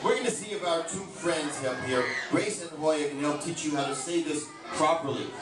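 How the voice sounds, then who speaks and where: A man's voice: fairly high pitch, moderate pace, over music. A man working as a live comedy straight man, giving short, insistent instructions as a stage ventriloquist. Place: large indoor room with live audience. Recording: echoey noisy hall, distant mic, audience.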